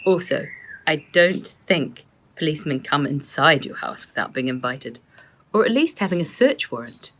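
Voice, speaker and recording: Woman reading as an earnest boy detective, lively pitch. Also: normal speed, heavily acted. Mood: suspicious, reasoning. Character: earnest, observant boy detective, reasoning things out. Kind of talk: children's storybook read aloud.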